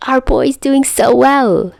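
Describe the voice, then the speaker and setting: Woman acting as impish young man, high raspy tone, manic mischief, audio story character, dry sound. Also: slow, no echo, exaggerated voice. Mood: mock proud. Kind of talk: amateur voice acting in fan fiction reading.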